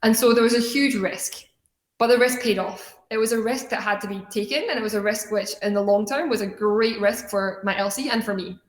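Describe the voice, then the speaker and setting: A woman's voice: mid-range voice, a little fast. A young adult woman from Scotland gives a motivational personal-story talk in an earnest, conversational way. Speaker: student organization leader giving a keynote talk. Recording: echoey room sound.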